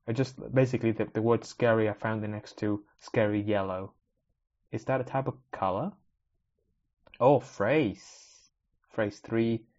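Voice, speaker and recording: Rambling man with a lower voice. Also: darkish tone. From Spanish-speaking country, moved to the UK at age ten. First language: Spanish. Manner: rambling, self-interrupting, wry. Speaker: podcast host. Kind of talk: casual solo podcast, thinking aloud while browsing. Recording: slightly dull, lo-fi recording.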